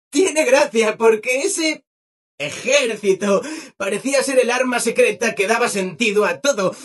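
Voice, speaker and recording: An actor playing an over-eager, cheerful robot assistant in a video game, with a Castilian Spanish accent and chirpy, mock-polite delivery. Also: male voice, sits high, fairly bright tone, breathy edge, full character voice. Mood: excited. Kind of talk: scripted video game character acting.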